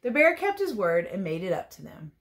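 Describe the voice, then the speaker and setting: A woman with a medium-pitched voice reads a children's storybook aloud like a teacher. Her delivery is expressive and playful, slipping easily between the written text and casual, chatty remarks on the pictures. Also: fairly quick. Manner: playful, chatty, commenting on the pictures as she goes.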